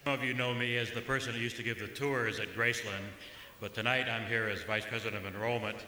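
A man welcoming an audience as a college admissions officer, speaking in a halting way with fillers. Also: mid-range voice, fairly even intonation, bright, forceful tone. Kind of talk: welcome speech. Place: concert hall. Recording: echoey hall with faint room noise.

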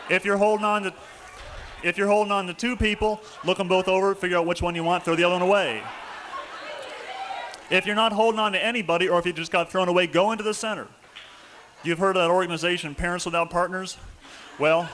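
A man's voice: high-pitched, steady tone, fairly quick, long pauses, sharp tone, a little hiss or hum. A man leading a group folk dance, calling the steps and cracking jokes between sung lines. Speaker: square dance caller.

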